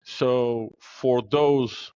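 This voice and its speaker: A man's voice: mid-range voice, steady tone, drawn-out words, dark tone, clear, resonant tone, no echo, highs cut off. A man originally from Morocco, a podcast guest explaining things earnestly with frequent "uh" fillers. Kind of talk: podcast interview.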